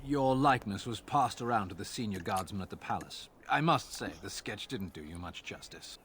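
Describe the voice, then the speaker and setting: An actor playing an exasperated, sardonic city guard officer in a video game, given to wordy grumbling. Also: male voice, on the low side, animated, moderate pace, fairly bright tone, slight background noise. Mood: wry, weary. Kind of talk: video game character dialogue.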